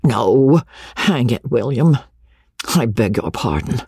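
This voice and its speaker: A man voicing a hot-headed, haughty young army officer in a storybook reading, with old-fashioned gentlemanly speech. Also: mid-range voice, big pitch swings, unhurried, slightly rough, dry recording, clean studio sound, exaggerated voice. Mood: contrite, cajoling.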